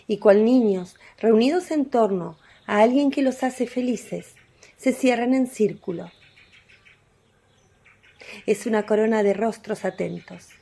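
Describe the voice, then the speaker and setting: A woman's voice: medium pitch, warm tone, slightly rough. A woman narrating a radio drama in a scripted storytelling style.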